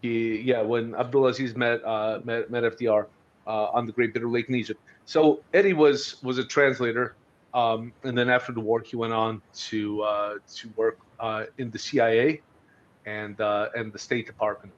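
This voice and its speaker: Halting man on a dull lo-fi recording. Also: mid-range voice, fairly even intonation. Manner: halting, hesitant, thinking aloud. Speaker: podcast host discussing books. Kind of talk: conversational history discussion. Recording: dull, lo-fi audio.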